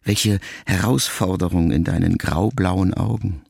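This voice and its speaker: An actor playing a wounded, reflective ageing son in an intimate radio drama monologue, speaking in a measured, gravelly voice. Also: male voice, deep voice, a little mellow, slight background noise, full character voice. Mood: pensive. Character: grieving ageing son addressing his dying mother, wounded, reflective.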